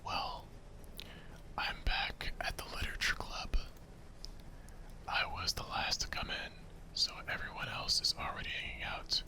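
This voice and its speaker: A man playing an easygoing young male student, narrating a visual novel in a hushed ASMR whisper. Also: unhurried, pauses often.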